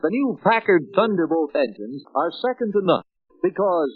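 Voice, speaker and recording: An American man narrates in 1950s promotional film style with punchy emphasis. His high voice swings widely in pitch and has a husky edge. The vintage soundtrack sounds dull. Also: lively intonation, moderate pace, a little hiss or hum. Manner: punchy, emphatic announcer delivery. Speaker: second narrator of a sales training film.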